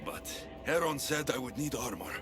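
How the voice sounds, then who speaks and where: Man acting blunt, animated male mercenary hero. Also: medium pitch, normal speed, lightly acted. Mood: blunt, wary. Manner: curt, sardonic, challenging. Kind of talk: video game cutscene acting. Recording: music behind.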